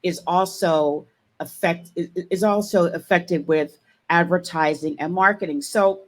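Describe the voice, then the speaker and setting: Upbeat woman host, lowish voice. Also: a little slow. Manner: upbeat, reads a long bio steadily. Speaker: show host. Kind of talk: scripted guest introduction on a live broadcast.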